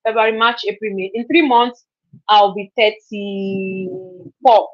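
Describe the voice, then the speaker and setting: A woman's voice: mid-range voice, fairly even intonation, takes it slowly. A woman, chatting casually on a live stream, repeating herself and laughing often. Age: 30s. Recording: dull lo-fi stream audio.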